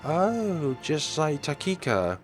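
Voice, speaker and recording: A man's voice: on the high side, big pitch swings, slow pace, soft background music, pitched above the performer's own voice. A man voicing a cheerful, chatty young schoolgirl in a visual novel read-through. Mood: chatty, earnest.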